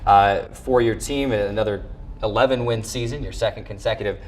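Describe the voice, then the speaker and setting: A man's voice: on the low side, steady tone, brisk, darkish tone, a little hiss or hum. A man hosting a sports show, delivering recaps and interview questions as a play-by-play announcer.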